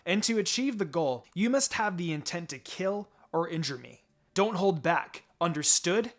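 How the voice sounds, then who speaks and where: A man's voice: sits high, animated, normal speed. A man voicing a composed, instructive woman ninja teacher in a fan fiction reading. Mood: firm. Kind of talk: fan fiction character dialogue. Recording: music behind the voice.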